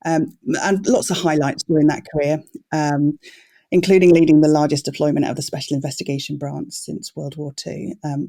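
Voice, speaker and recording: A British woman in her forties speaks as a podcast guest in a soft, mellow voice. The recording is close and dry, and she tells her story in a measured, articulate way. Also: low-pitched, dry recording. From Wales and Birmingham, UK. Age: 40s. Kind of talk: conversational self-introduction.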